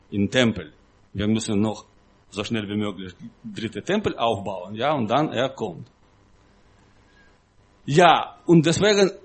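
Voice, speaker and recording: A man preaching in a non-native, foreign-accented voice, halting and simply phrased, with emphatic repetition. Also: medium pitch, a bit bright. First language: not German. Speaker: guest preacher. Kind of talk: sermon-like teaching talk to a congregation.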